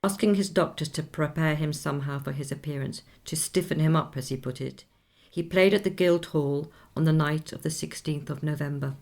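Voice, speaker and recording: A composed woman recounts a story in a radio drama. Her voice is very low for a woman, with a marked creak at the edges. She speaks in measured, articulate sentences, like someone carefully telling a history she knows well. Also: darkish tone. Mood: measured, reflective. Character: Miss Lind, composed woman recounting a composer friend's last tour. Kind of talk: scripted radio play narration.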